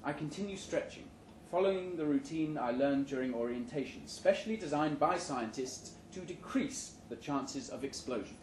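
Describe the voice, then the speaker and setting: A man giving a live, measured, deadpan reading of satirical fiction at an indoor venue. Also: on the high side. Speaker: short story reader. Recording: roomy, noisy live venue recording.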